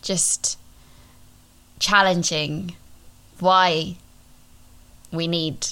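A woman's voice: fairly low pitch, fairly even intonation, very slow, a bit bright. A British woman in her thirties co-hosting a casual book discussion in a conversational, reflective way. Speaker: podcast co-host.

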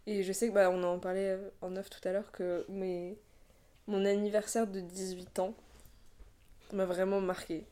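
A woman's voice: mid-range voice, little pitch movement, moderate pace, mellow. A woman in her twenties, co-hosting a podcast, talking in a reflective, rambling way with many fillers. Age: 20s. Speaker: podcast co-host. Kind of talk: casual chat.

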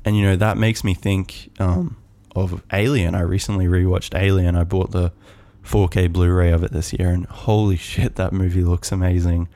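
A man hosting a podcast, musing on his own in a calm, soft voice, rambling with many fillers and restarts. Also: low voice, moderate pace, no room sound. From Australia. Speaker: podcast host. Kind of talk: casual solo musing.

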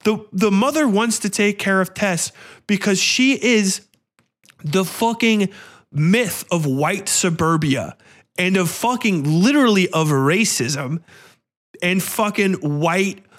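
A man co-hosting a podcast, giving an emphatic, opinionated film critique with dramatic, drawn-out phrasing and frequent swearing. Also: high-pitched, animated, fairly bright tone. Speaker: podcast co-host reviewing a horror film.

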